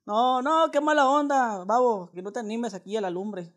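A man in his twenties with a Mexican accent makes a casual prank call. He is slangy and coaxing, piling on mock earnestness as he makes his pitch. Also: normal speed, fairly bright tone. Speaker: prank caller. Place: indoors, small living room.

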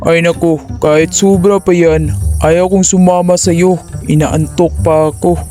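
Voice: mid-range voice, flat delivery, a little slow, close to an everyday voice. An actor voicing a lazy, carefree, irritable young male grasshopper in a cartoon fable, whiny, cocky and slangy. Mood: sleepy, annoyed. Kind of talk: cartoon fable character dialogue in Tagalog. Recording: loud music behind the voice. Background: music.

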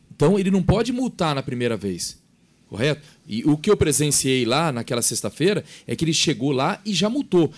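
A man, chairing a council meeting and arguing points insistently at a desk microphone. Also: fairly high pitch. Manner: animated, gesturing, insistent. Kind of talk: public meeting discussion. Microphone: desk mic.

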